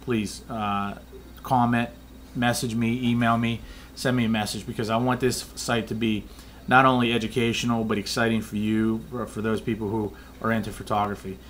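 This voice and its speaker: A man casually explaining night photography in a flat, monotone way, rambling with many fillers and restarts. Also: fairly low pitch, slight background noise. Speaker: photography vlogger.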